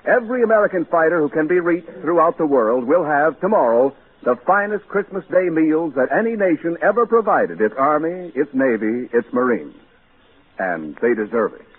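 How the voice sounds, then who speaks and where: A man's voice: on the high side, lively intonation, moderate pace, husky. A man announcing a 1940s radio show in a declamatory, scripted style. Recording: tinny, noisy 1940s radio recording.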